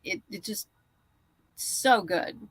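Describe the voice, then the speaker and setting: A woman chatting casually as an interview guest, a writer explaining things in a halting, thoughtful way. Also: medium pitch, fairly bright tone, breathy edge, no echo. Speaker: guest writer and comics creator.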